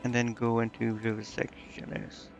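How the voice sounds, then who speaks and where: A man's voice: medium pitch, monotone, moderate pace, dull audio. A man streaming a game, muttering indecisively to himself in casual commentary as he thinks aloud. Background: game music.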